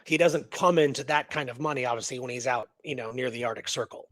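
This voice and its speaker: A man co-hosting a show, casually discussing a historical mystery in a halting, rambling way that repeats words. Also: medium pitch, a bit bright, dry recording, clean studio sound. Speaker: co-host of a radio history show.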